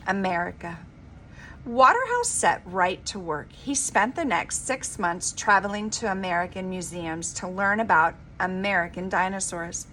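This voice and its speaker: A woman reading a children's storybook aloud like a teacher, with an expressive, emphatic delivery. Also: mid-range voice. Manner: dramatic, emphatic delivery with informal asides. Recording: clearly noisy homemade recording.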